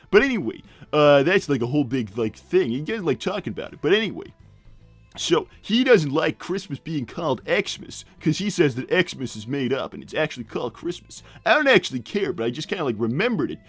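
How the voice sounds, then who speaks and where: A man voicing a brash middle-aged hedgehog dad in improvised livestream comedy, rambling and blunt, with halting tangents. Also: fairly high pitch, sing-song, husky, dry recording, faint music behind, full character voice. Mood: rambling, matter-of-fact. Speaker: character host reading viewer messages.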